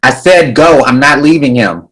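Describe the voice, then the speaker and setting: A man playing a brave, brash young sorcerer with a husky voice in a fantasy screenplay table read. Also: medium pitch, animated, moderate pace, fairly bright tone, a little hiss or hum, natural voice, low-quality recording. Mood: urgent.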